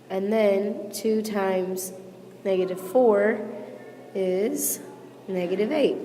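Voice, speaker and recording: Hoarse woman teaching a math lesson. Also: mid-range voice, dark tone, in a large hall, slight background noise. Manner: patient, explains step by step with frequent "okay?" checks. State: sick, losing her voice. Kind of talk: recorded classroom lesson.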